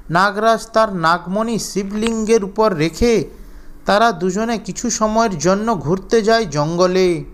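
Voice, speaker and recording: A man's voice: sits high, fairly bright tone. A man narrating a scripted children's fairy tale as storyteller.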